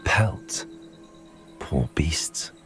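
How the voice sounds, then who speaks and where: Young British wizard, low voice, very varied intonation, earnest, observant, video game dialogue, music behind. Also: male voice, expressive, pushed and bright, exaggerated voice. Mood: musing, concerned. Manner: measured, musing aloud.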